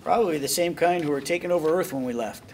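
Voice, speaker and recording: An actor plays a hesitant, deadpan male telepath in a sci-fi spoof. His voice is gravelly and a little high. Faint room noise sits behind him. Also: fast talker. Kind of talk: comedy script table read.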